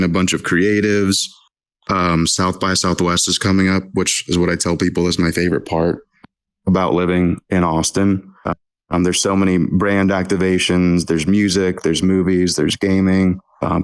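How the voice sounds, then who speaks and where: A young man in his twenties with a deep voice that stays level and rarely rises or falls. He talks casually as a podcast guest, hesitant and full of ums and restarts, in a steady monotone. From Texas. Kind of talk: casual interview chat.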